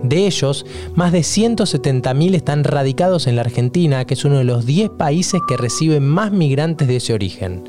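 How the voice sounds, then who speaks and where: A man narrates a documentary podcast from a script, steady and informative. His voice sits somewhat high for a man. A faint guitar plays softly behind him. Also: normal speed, hardly any pauses. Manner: even, informative delivery.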